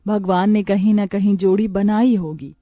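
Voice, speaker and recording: A woman voicing an old farmer's devoted wife in a cartoon moral story, quiet and consoling. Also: medium pitch, steady tone, normal speed, no echo, clean studio sound, lightly acted. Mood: soothing concern.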